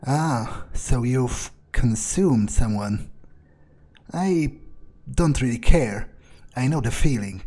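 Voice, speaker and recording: Man playing a slow, mellow, brooding vampire. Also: mid-range voice, animated. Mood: dry, deliberate. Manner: deliberate, halting, murmured musing. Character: brooding, cynical, vengeful male vampire, player's character. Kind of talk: in-character game roleplay, thinking aloud.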